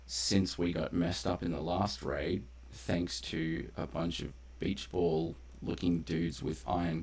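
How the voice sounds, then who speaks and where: A man's voice: low-pitched, gravelly. A man doing casual, rambling live gameplay commentary as a streamer, conversational and loose with swearing. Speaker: game streamer. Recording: faint background noise.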